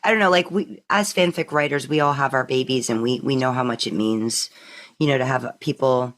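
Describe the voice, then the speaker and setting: A woman's voice: a bass voice, fairly quick. A woman hosting a podcast in casual chat, speaking in an effusive, gushing way. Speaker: podcast host.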